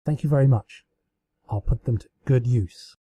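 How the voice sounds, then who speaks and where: A man's voice: mid-range voice, moderate pace, mellow, slightly rough, no room sound. A man voicing a playful pony princess with a grandiose, melodramatic and exclamatory delivery in a story reading. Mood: pleased. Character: playful, theatrical pony princess mock-heroically defying her attacker.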